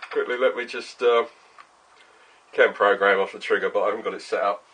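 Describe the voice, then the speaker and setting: A middle-aged man with a husky voice, chatting casually and rambling in a workshop vlog, often sweary. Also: fairly low pitch, steady tone, a little fast, fairly bright tone, slight background noise. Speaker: vlogger, workshop owner. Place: small cluttered workshop, indoors.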